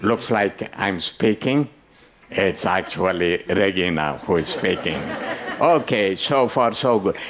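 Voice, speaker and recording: An older man runs a conference workshop at an unhurried, deliberate pace. His delivery is loose and playful, and he keeps breaking off to tease people in the room. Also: sits low, animated, crowd noise. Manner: joking, teasing the audience. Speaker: workshop presenter. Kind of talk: interactive conference workshop talk.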